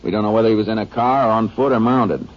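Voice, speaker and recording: An American actor playing a steady, businesslike Texas Ranger in an old radio drama. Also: male voice, lowish voice, fast, lightly acted. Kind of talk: 1950s radio drama acting and narration. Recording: noisy, muffled old-time radio recording.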